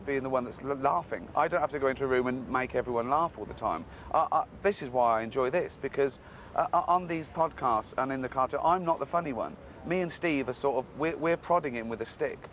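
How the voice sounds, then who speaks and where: A man guest on a breakfast show, teasing his friends and breaking into high giggles. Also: brisk, slight background noise. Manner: mocking, laughs into high-pitched giggles. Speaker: comedian guest.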